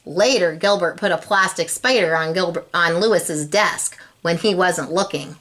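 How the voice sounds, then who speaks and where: A woman's voice: lowish voice, moderate pace, fairly bright tone. A woman reading a children's storybook aloud, expressively and speaking directly to young listeners. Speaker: storybook reader.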